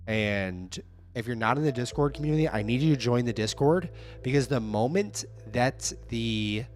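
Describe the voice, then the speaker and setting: Upbeat man streaming with a hoarse voice. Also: lowish voice. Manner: upbeat, hype-driven, addresses viewers directly. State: hoarse, losing his voice. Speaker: livestreaming app developer. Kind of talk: casual livestream chat.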